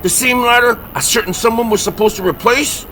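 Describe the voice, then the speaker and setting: An actor playing an exasperated, sarcastic monster-hunting sidekick in a comedy horror audio drama, full of indignation. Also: male voice, very high-pitched, brisk, fairly bright tone, slight background noise.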